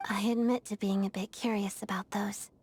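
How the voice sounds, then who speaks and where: A woman voicing an earnest, disciplined young ballet dancer in a video game, speaking in a composed, formal and measured way. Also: mid-range voice, flat delivery, darkish tone, slightly rough, close to an everyday voice. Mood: earnest, polite. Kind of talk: video game character voice acting.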